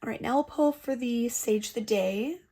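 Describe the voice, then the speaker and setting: A woman's voice: fairly high pitch, normal speed, dark tone. Stuffy-nosed chatty woman vlogger. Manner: chatty and self-deprecating. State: congested, stuffy nose. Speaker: beauty vlogger. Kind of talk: casual makeup tutorial chat. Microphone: laptop built-in mic.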